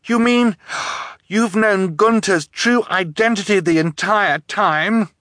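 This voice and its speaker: A man's voice: medium pitch, sing-song, normal speed, fairly bright tone, no echo, studio quality, voice pushed up. A man voicing an earnest, protective teenage orphan girl with a pleading, emphatic delivery in a storybook. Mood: horrified, indignant.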